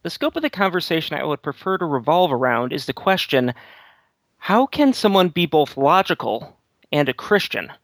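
A man with a gravelly, fairly high voice reads a listener's letter aloud at a measured, slow pace. The sound is dry, with no room around it. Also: expressive, a bit bright, no echo.

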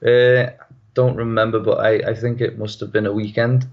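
A man's voice: on the low side, flat delivery, normal speed, muffled recording. A man with a British accent calling in for advice, giving short replies, laughing often and swearing casually. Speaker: caller. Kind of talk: call-in advice conversation.

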